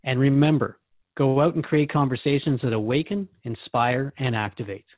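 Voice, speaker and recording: Male talk host, mid-range pitch, earnest and reflective, conversational delivery, very dry sound. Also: normal speed, no room sound, narrow, tinny audio. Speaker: radio show host. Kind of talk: conversational interview with a spirit guide.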